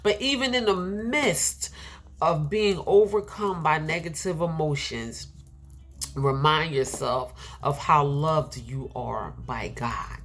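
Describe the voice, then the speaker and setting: A woman's voice: low voice, lively intonation, takes it slowly, fairly bright tone. A middle-aged woman with a nasal, congested voice giving an earnest inspirational sermon, repeating herself and laughing now and then. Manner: earnest, repetitive, with laughs and filler words. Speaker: preacher.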